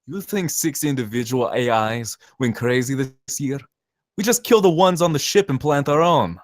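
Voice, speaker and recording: A man's voice: mid-range voice, normal speed, dry recording, lightly acted. A brash, cynical saboteur with a zealot's conviction, acted by a man at a table read. His voice sits in the middle range and sounds dry. He talks in emphatic, sweary slang. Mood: coolly persuasive. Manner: profane, emphatic, drawling slangy rant. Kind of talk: screenplay table read, long monologue.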